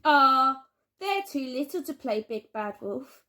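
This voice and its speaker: A woman's voice: sits high, expressive, slow, heavily acted. A woman voicing a sulky young boy pig in a children's storybook, whining and defiant. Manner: whining, grumbling, shouting.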